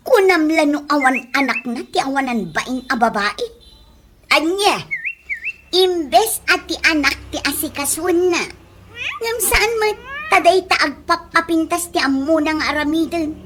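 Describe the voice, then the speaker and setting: A woman playing a gossipy, disapproving neighbour in an Ilocano-accented comedy radio drama, drawn-out and chiding. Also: high voice, animated, fairly bright tone, slight background noise.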